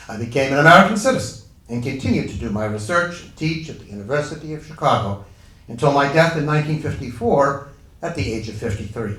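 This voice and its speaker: A man's voice: medium pitch, a bit bright. A man playing an earnest Italian-born physicist recounting his own life in a formal, declamatory dramatic reading. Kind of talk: first-person dramatic reading of a historical monologue. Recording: echoey room, distant microphone.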